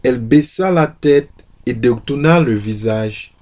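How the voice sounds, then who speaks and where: A man reading literary prose aloud in a deliberate way, stumbling now and then. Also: mid-range voice, a little mellow. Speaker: reader of a novel excerpt. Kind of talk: literary reading aloud with brief English asides.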